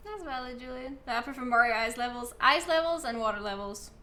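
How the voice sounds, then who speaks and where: A woman's voice: on the high side, fairly quick, pushed and bright. A young Norwegian woman with a Norwegian accent streaming a video game, chatting casually and answering chat, a little self-deprecating. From Norway.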